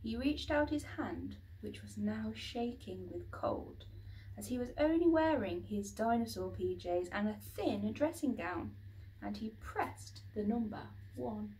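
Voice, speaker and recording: Slow-paced woman reading a children's story. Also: mid-range voice, expressive, darkish tone, far from the mic, a little hiss or hum, low-quality recording. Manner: dramatic, with acted sound words. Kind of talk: expressive storybook narration.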